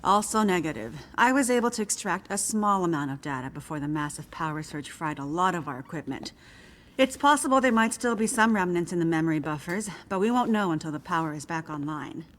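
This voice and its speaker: A woman playing a guarded older woman scientist in a video game, speaking in measured, formal, precise phrases. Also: lowish voice, lively intonation, lightly acted. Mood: matter-of-fact. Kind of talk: video game cutscene acting. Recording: faint music behind the voice.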